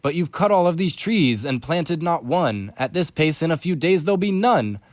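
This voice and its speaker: An earnest young treehopper played by a man pleads in storybook rhyme. The voice is raised somewhat in pitch and stays earnest and insistent. The sound is dry and close. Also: expressive, moderate pace, no echo, close to an everyday voice. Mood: urgent protest.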